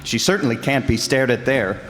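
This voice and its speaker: A man's voice: medium pitch, brisk, fairly bright tone, a little hiss or hum, lightly acted. A man playing a persistent, earnest, plain-spoken detective in an old-time radio drama. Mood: concerned. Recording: echoey hall, faint music behind.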